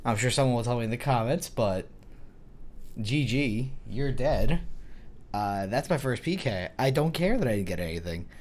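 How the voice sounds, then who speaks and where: A man with a hoarse voice from a cold, giving casual, self-mocking commentary over his own gameplay, thinking aloud and getting excited at small wins. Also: mid-range voice, moderate pace. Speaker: gaming YouTuber.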